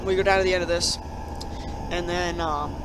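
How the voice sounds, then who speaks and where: A man's voice: high voice, steady tone, moderate pace, background noise. Young man with a cold, chatty. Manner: rambling, with many fillers and restarts. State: has a cold. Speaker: channel host. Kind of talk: casual video commentary. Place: at home.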